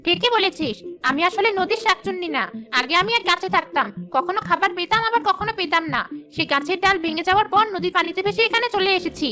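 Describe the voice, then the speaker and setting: Woman acting a hungry female river ghost, very high pitch, threatening, condescending, cartoon folk tale. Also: lively intonation, quick pace, bright, forceful tone, soft background music, full character voice. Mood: confiding. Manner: bossy, threatening, talks down. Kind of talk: animated folk tale dialogue.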